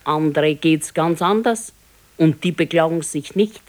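An actress playing a practical, modest old wife with a Bavarian accent, admonishing and matter-of-fact in a radio play. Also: female voice, low voice, unhurried, a little mellow. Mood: gently reproving. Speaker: radio drama actor. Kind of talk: 1970s naturalistic dialect radio play.

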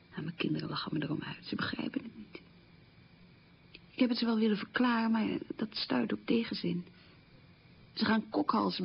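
An actress playing a frugal, middle-aged divorced woman haunted by wartime poverty, confiding and explaining herself in a radio play monologue, with a husky, creaky voice. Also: lowish voice, a little hiss or hum. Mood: earnest, rueful. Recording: dull lo-fi old radio recording.

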